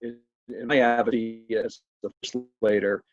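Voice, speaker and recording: A man conducting a conversational interview as host, speaking haltingly and often repeating his words. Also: fairly low pitch, little pitch movement. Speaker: state legislator hosting an interview. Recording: roomy, echoey, muffled video call sound.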